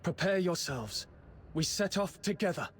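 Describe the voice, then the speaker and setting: A man's voice: high-pitched, animated, normal speed, vocal fry, slight background noise. An actor playing a determined, idealistic male soldier rallying his comrades in a game. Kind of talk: game voice acting.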